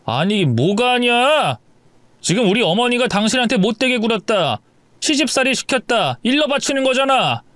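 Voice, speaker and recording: A man's voice: extremely high pitch, big pitch swings, normal speed, fairly bright tone, no echo, voice pushed up, full character voice. A man voicing an impatient young husband who snaps at his wife. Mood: irritated. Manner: curt, exasperated.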